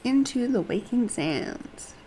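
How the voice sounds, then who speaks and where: A woman's voice: a little high, animated, slow, mellow, slight background noise, poor audio. A woman livestreaming a game, reading dialogue aloud with casual asides and the odd self-correction. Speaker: game streamer.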